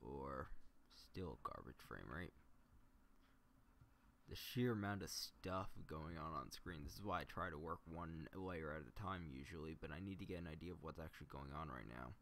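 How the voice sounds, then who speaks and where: A man's voice: rumbling low, normal speed, fairly bright tone, a little hiss or hum. A man livestreaming a casual, unscripted tutorial, thinking aloud and muttering to himself as he works. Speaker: livestreamer demonstrating video editing. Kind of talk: casual unscripted tutorial commentary while working.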